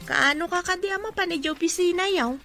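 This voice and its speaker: A woman's voice: extremely high pitch, fairly quick, vocal fry, sharp tone, faint music behind, exaggerated voice. A woman with an Ilocano Filipino accent playing a respectful, anxious household helper in a radio drama.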